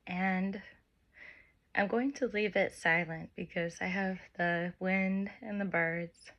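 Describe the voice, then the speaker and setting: A woman leading a guided meditation, speaking gentle instructions in short phrases with long pauses between them. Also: on the low side, lo-fi sound. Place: by the open air with wind and birds.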